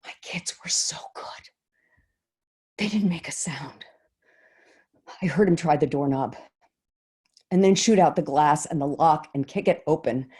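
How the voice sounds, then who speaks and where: A woman performing a dramatic monologue as a shaken schoolteacher, husky and halting, on a video call. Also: low-pitched, a little mellow. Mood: hushed, tense. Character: shaken woman teacher recounting shielding her kids from a gunman. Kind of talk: dramatic monologue, staged reading. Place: remote reading over video call.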